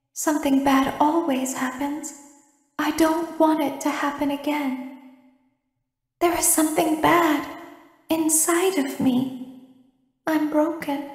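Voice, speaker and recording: High, slow, eerie girlish robot. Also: roomy sound. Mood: pleading, fragile. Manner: hushed, slow, deliberate, breathy. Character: soft-voiced childlike female animatronic robot, eerie, secretive. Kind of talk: video game character monologue.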